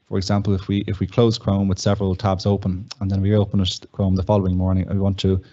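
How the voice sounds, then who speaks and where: Low, mellow man explaining. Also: completely flat delivery, fast, muffled recording. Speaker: presenter of tech tips. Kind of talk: step-by-step tutorial.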